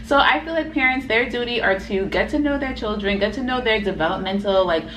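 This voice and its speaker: A woman's voice: fairly high pitch, brisk. A woman in her thirties co-hosting a casual chat, talking in an earnest, reflective way and thinking aloud. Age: 30s. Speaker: co-host. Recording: echoey room with steady background noise.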